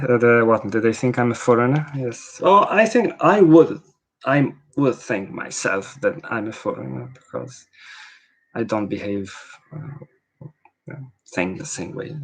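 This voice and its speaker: Slow-talking Italian man. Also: mid-range voice, animated, slightly rough, poor audio. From Italy. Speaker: Italian language teacher. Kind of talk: casual Q&A, answering viewer questions.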